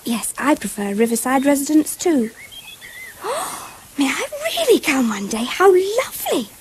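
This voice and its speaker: A woman's voice: high-pitched, very animated, unhurried. An actress playing an earnest, imaginative little English girl, speaking precisely and eagerly in a 1970s radio drama. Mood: chatty, polite. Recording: faintly hissy old radio broadcast.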